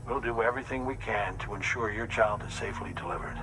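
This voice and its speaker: An actor playing a calm, precise doctor who explains medical procedures and reassures patients in a game cutscene. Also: male voice, on the low side, close to an everyday voice, poor audio. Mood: reassuring. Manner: measured, explanatory, slightly fussy. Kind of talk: video game cutscene acting. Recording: score music in the background.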